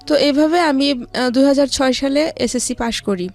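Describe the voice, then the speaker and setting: A woman recounting her own life story as an interview guest, with halting, hesitant delivery. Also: high-pitched, vocal fry, soft background music. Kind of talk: personal life-story narration.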